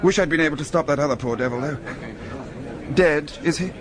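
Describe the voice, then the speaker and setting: Man playing an injured gentleman doctor, educated English accent, clipped and stoical, highish voice, shaken, busy crowd behind. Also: lightly acted. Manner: clipped, brisk, matter-of-fact. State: shaken and in pain. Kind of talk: radio drama acting.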